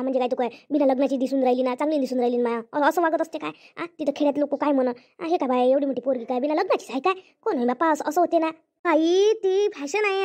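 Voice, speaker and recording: A bossy village woman played by a voice actress in a cartoon comedy. She has an extremely high-pitched voice and rattles along quickly, scolding and chattering in rustic Varhadi Marathi from Vidarbha. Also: darkish tone, natural voice. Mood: indignant. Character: bossy, chattering village women of a wedding household.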